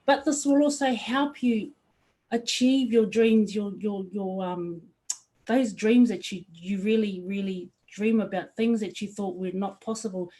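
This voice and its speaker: A young woman from New Zealand delivers a company pitch with earnest enthusiasm. She speaks at an ordinary pitch, hesitating on ums and restarting phrases as she goes. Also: fairly even intonation, unhurried, dark tone, poor audio. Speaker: company presenter. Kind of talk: promotional presentation.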